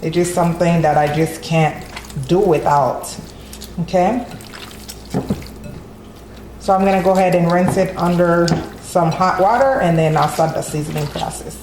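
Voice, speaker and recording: A woman's voice: lowish voice, darkish tone, roomy sound, slight background noise, poor audio. A woman hosting a home cooking tutorial, walking through each step in a friendly way and often adding "okay?" as she goes. She is from Haiti. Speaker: cooking channel host. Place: home kitchen.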